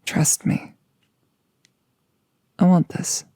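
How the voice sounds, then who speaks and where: A woman's voice: drawn-out words, mellow, dry recording, studio quality. A woman playing a nervous but resolute girlfriend in an intimate ASMR audio roleplay, speaking in a hesitant, rambling, earnest way. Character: nervous, resolute woman about to become a vampire.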